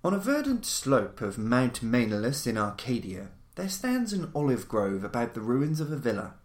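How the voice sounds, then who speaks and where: A man's voice: medium pitch, sing-song. Man narrating gothic tales in echoey room. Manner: formal, measured, dramatic storyteller delivery. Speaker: podcast host and narrator. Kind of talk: theatrical scripted reading of gothic horror stories. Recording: roomy, echoing voice recording.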